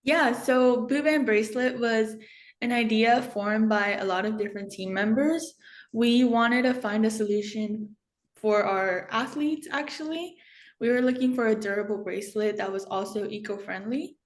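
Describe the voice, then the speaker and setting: A woman's voice: on the high side, normal speed. A teenage girl, a student company CEO, explaining her product in an interview, careful and a little hesitant. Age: teens. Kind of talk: interview answers.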